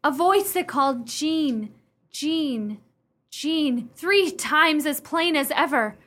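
An actress as a fretful widowed lady of the house in a radio drama, very high in pitch. Her delivery swoops theatrically, genteel yet easily alarmed. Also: lively intonation, slow pace, fairly bright tone, heavily acted. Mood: anxious. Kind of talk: radio play acting.

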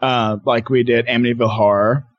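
A man's voice: mid-range voice, fairly even intonation, fairly quick, no room sound, lo-fi sound. Man chatting casually, close dry sound. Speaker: podcast guest. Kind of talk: casual conversation.

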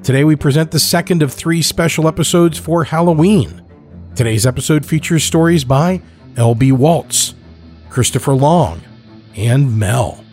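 A man's voice: on the high side, lively intonation, measured pace, darkish tone, faint music behind. A man reading a scripted introduction as the host of a podcast.

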